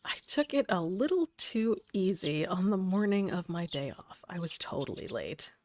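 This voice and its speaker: A woman's voice: lowish voice, big pitch swings, normal speed, darkish tone, dry recording. A woman playing an easygoing, wry teenage boy who narrates his day in a visual novel game.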